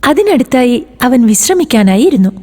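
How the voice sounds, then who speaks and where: A woman narrating a children's moral story in an expressive, sing-song way. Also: on the high side, moderate pace.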